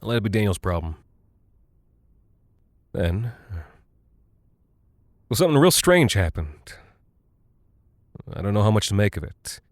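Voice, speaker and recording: American cargo pilot, lowish voice, weary, rattled, chatty, confiding, halting, audio-drama log, very dry recording. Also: male voice, sing-song, no echo. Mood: uneasy, confiding. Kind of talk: first-person audio drama monologue, audio log.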